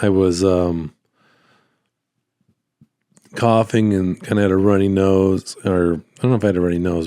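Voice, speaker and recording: A man hosting a casual solo podcast, rambling and halting, with a slightly hoarse voice that cuts in and out as he recovers from being sick. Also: fairly low pitch, a little mellow, dry recording, clean studio sound. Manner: rambling, halting, repeats phrases. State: hoarse, voice cutting in and out after illness. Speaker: podcast host, small business owner.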